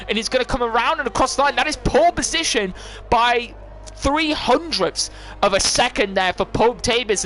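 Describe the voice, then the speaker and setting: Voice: medium pitch, a bit bright. A commentator giving live play-by-play of an online motor race on a stream. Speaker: esports racing commentator.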